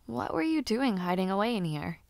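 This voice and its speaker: A woman's voice: medium pitch, a little fast, gravelly, dry recording. Woman playing a playful young noblewoman knight-in-training, close dry sound. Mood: curious, teasing. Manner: conversational, teasing, expressive, with hums and hesitations. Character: lively young noblewoman and aspiring knight, playful gossipy companion. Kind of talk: fantasy audio roleplay addressed to the listener.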